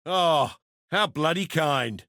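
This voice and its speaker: An actor playing a gruff, sardonic fighter in a video game, speaking in blunt, colloquial barks. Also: male voice, a little high, animated, slow pace, bright, forceful tone, no room sound, raised voice, clean studio sound, exaggerated voice.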